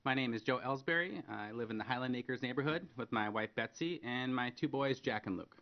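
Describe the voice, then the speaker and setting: A man's voice: mid-range voice, a little fast. A man in his thirties from North Dakota, a candidate giving an earnest, plain-spoken opening statement. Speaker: political candidate. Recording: dull lo-fi broadcast audio.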